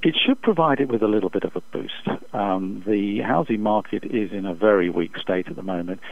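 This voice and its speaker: Man, low pitch, economist guest, formal and measured, hesitant analytical delivery, muffled dry phone recording. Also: creaky, no echo. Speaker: economist being interviewed as an expert guest. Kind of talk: unscripted analytical interview answers. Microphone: telephone. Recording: dull, muffled phone interview line.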